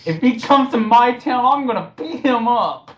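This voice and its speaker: A man's voice: very high voice, animated, normal speed, background noise. A man chatting casually and mockingly as a co-commentator, snide and blunt, on a lo-fi voice-chat recording.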